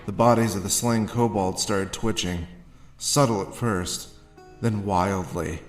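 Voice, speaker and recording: A man playing a haunted, sardonic drifter in a dark horror audio drama, moving between first-person narration and dialogue. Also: on the low side, lightly acted. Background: background music.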